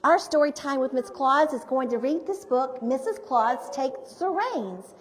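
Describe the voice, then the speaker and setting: A woman in the role of a storyteller, gently and encouragingly addressing children during a read-aloud. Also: high-pitched, expressive, unhurried. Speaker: storyteller playing Mrs. Claus. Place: large bright indoor room, seated before children. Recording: reverberant hall, recorded live.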